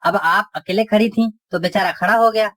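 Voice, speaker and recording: A woman's voice: mid-range voice, moderate pace, fairly bright tone, a little hiss or hum, natural voice. A woman voicing a grumbling, flirtatious husband and father in a cartoon comedy. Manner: bickering at family, smooth-talking.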